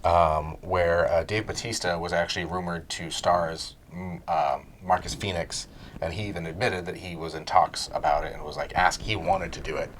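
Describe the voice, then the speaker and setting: Low-voiced man chatting over background noise. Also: steady tone, normal speed, fairly bright tone. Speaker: co-host of a video game and movie discussion show. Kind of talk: casual opinionated chat about entertainment news.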